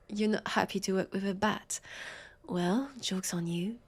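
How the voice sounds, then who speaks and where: A woman with a French accent playing a weary, sardonic vampire police officer in an audio roleplay, halting and exasperated. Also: medium pitch, fairly even intonation, slightly rough. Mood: weary, wry. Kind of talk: scripted audio roleplay acting.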